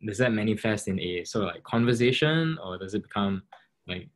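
A man's voice: lowish voice, animated, brisk. A man on a video call asking a question, tentative and full of fillers. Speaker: audience member asking a question. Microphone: laptop video call.

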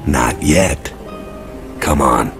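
An actor playing a gruff, battle-hardened squad leader in a video game, curt and commanding. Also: male voice, very slow, over music, exaggerated voice. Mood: curt, dry. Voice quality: deep, gravelly. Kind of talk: video game cutscene acting.

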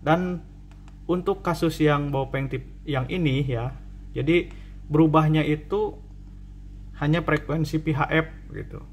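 A man's voice: on the high side, unhurried, pauses often, breathy edge. A man giving a casual hands-on tutorial as a repair technician, repeating and rephrasing as he explains. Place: indoor cluttered repair workbench.

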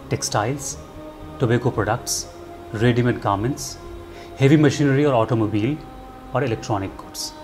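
A middle-aged man, presenting a scripted address to camera in an earnest, persuasive manner rhetorical questions. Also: mid-range voice. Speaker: filmmaker and storyteller presenting a commentary segment. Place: indoor studio set. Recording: music bed underneath.

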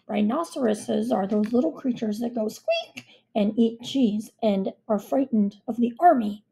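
A woman's voice: a little high, animated, a little slow, gravelly, mellow, rough recording. A woman voicing a pompous, muddled king in a children's storybook, emphatic, self-assured and dismissive. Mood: blustering, dismissive.

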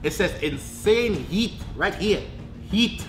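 A man's voice: high voice, lively intonation, slow. A young man hosting a challenge vlog in playful banter, with a dramatic, exclamatory way of talking. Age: young adult. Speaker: vlog host. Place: indoor room at home, at a table. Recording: roomy indoor echo, music underneath. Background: music.

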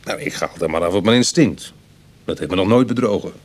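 A man playing a roguish, world-weary reformed burglar in a radio drama, speaking in a musing, colloquial way with a husky, gravelly voice. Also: sits low, quick pace. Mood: musing, conversational. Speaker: actor in a radio play. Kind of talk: Dutch radio drama acting, dialogue and confessional narration.

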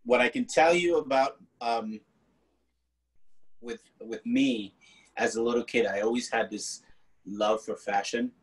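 A man in his forties whose first language is Spanish, an artist telling stories on a video call from a room at home, unhurried and quick to laugh. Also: mid-range voice, slightly rough, low-quality recording. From born in the US, raised partly in Guatemala. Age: 40s. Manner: unhurried, laughs easily, expressive. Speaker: artist guest. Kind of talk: relaxed conversational storytelling. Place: home room with colorful paintings on the wall. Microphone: laptop webcam video call.

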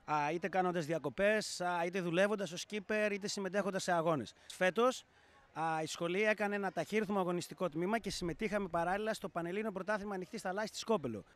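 Thirties male school director, outdoor street interview on a handheld mic, slightly high pitch, steady and explanatory. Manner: measured, explanatory, with hesitant fillers. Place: outdoor café terrace in a town. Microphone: handheld reporter mic.

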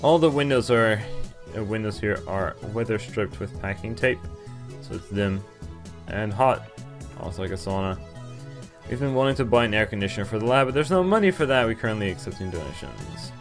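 A man's voice: lowish voice, lively intonation, a little fast. A young man hosting a gaming video, reading on-screen story text aloud and adding casual comments. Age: young adult. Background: game music.

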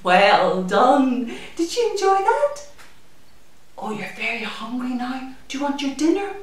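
A middle-aged woman hosting children's story time in an animated, sing-song manner, talking and singing to small children. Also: high voice, measured pace. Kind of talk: children's story time with puppet chat and songs. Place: indoors, small room in a community library. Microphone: camera a few metres away. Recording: very echoey room, distant microphone.

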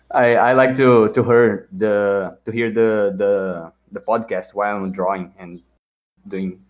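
A man whose first language is Portuguese, calling into a podcast and speaking with a Portuguese accent in hesitant, halting chat. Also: on the low side, fairly even intonation. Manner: hesitant, halting, laughs often. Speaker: caller. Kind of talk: casual chat. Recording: dull lo-fi voice call.

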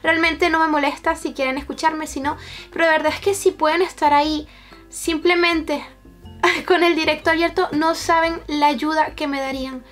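A woman's voice: high voice, flat delivery, normal speed, fairly bright tone, faint music behind. A woman in her twenties with a Venezuelan accent, chatting casually to camera as a streamer. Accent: Venezuelan Spanish. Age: 20s. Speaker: streamer and YouTuber reacting to memes and streams. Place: small bedroom with shelves, indoors. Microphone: camera-mounted shotgun microphone.

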